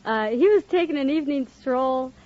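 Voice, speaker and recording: A woman's voice: high voice, animated, moderate pace, a crowd in the background. A plain-spoken woman with an American accent telling an informal story as a radio quiz contestant. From United States, Wisconsin. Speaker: quiz show contestant, housewife. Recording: muffled 1950 radio recording, loud audience, noise. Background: laughing studio audience.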